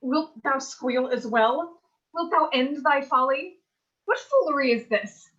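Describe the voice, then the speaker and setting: A woman's voice: high voice, expressive, measured pace, a bit bright. A woman playing an exasperated young woman on a call, theatrical and questioning in mock-Shakespearean phrasing, on a dull, muffled recording. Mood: puzzled, annoyed. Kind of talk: Shakespearean staged reading, horror parody.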